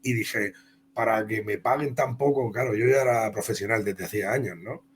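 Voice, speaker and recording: A man's voice: lowish voice, moderate pace. Spanish man chatting over noticeable hum. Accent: Spanish (Spain). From Spain. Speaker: comic book author and interview guest. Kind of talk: conversational interview, telling career anecdotes.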